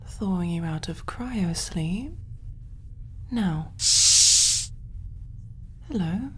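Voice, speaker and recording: A woman voicing a kind, calm alien medic in a soft, breathy, reassuring ASMR roleplay style. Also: lowish voice, very slow, music in the background. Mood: gentle, clinical. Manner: gentle, hushed, reassuring, often near whisper.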